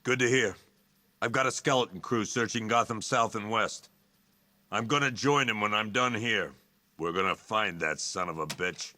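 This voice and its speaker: An actor playing a tough, determined police chief in a video game, gruff and businesslike as he speaks. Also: lowish voice, moderate pace, pushed and bright.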